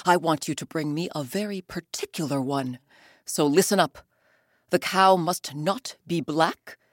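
A woman's voice: on the low side, fairly bright tone, no room sound, studio quality, full character voice. A woman voicing a proud, jealous king in a storybook tale, haughty and demanding.

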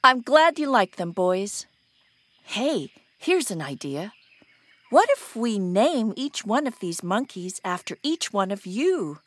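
A woman voicing a scheming, gushing aunt in a children's folktale, with drawn-out theatrical delivery. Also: medium pitch, very animated, a bit bright, no echo, heavily acted. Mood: sweetly persuasive. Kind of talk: children's story character voice.

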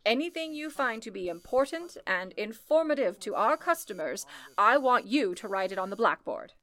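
A woman acts a commanding female supervisor at a telephone exchange in a vintage radio play. Her voice sits fairly high, with firm, deliberate, formal delivery. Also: big pitch swings, a bit bright. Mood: firm, composed. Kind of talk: old-time radio drama acting.

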